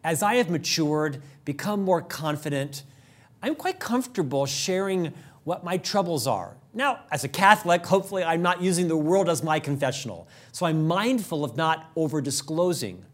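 A middle-aged man hosting a leadership show, talking fast and upbeat in a prepared monologue, with an occasional stutter on a word. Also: a little high, animated. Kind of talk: scripted monologue to camera.

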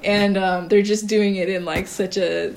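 A woman from Costa Rica, a filmmaker talking as a guest in a casual interview, lively, with frequent laughter and many fillers. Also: medium pitch, unhurried, a little hiss or hum.

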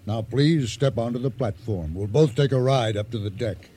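An actor playing a calm, commanding seafaring schemer, terse and smoothly courteous with quiet menace, in a radio drama. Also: male voice, medium pitch, normal speed, natural voice. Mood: smooth, quietly menacing. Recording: radio drama with faint background noise.

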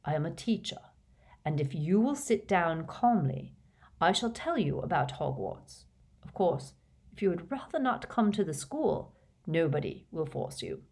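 A woman voicing an elderly male wizard headmaster who speaks in a patient, measured, courteous way, read as part of an audiobook. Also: on the low side, very animated, darkish tone, breathy edge, exaggerated voice. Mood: calm. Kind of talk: audiobook character voice.

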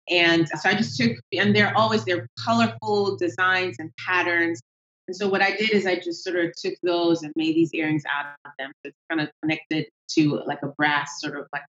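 Woman, Nigerian accent, lowish voice, animated and laughing, echoey room, dull video-call sound. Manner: lively, animated, laughs easily. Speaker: guest entrepreneur. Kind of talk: casual interview chat. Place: at home.

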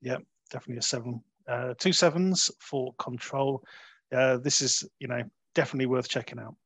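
A man hosting a conversational film review, talking in a halting way and often rephrasing as he goes. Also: mid-range voice, fairly even intonation, a bit bright, slight background noise. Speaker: film review podcast host.

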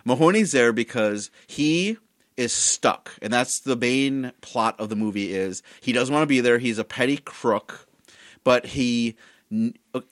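A man's voice: medium pitch, unhurried, fairly bright tone, no echo, clean studio sound. A man co-hosting a casual movie review podcast, a bit tipsy, rambling and stumbling over his words as he jokes. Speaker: podcast co-host.

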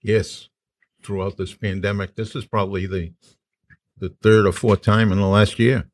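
A man co-hosting a podcast in a university radio studio, talking in a relaxed, unhurried way. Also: lowish voice, dry recording. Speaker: podcast co-host. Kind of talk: casual podcast chat.

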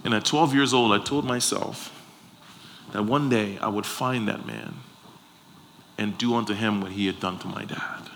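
A man's voice: lowish voice, fairly even intonation, moderate pace, fairly bright tone. A man from Liberia in West Africa preaching a sermon to a congregation, emphatic, repeating phrases and posing rhetorical questions. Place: live before a congregation. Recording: clear background noise, live room ambience.

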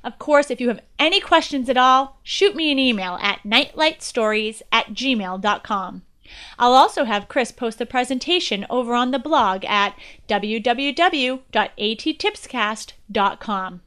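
A woman's voice: on the high side, expressive, a little slow, a bit bright, smooth. An American woman guest-hosting a podcast, giving a scripted educational presentation in a chatty manner.

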